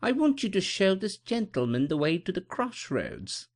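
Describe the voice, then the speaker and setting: A man voicing a gentle, wistful blind woman of a country house in an audiobook, speaking softly and slowly. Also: high-pitched, big pitch swings, voice pushed up. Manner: soft, slow and wistful. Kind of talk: audiobook character voice.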